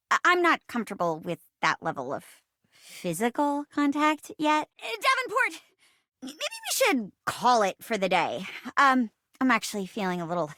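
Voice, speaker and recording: An actress voicing a dorky, playful young woman who loves amateur theater, a video game character with an expressive manner. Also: high-pitched, no room sound, studio quality, full character voice. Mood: awkward, apologetic. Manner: expressive, dramatic, slips between stagey and casual.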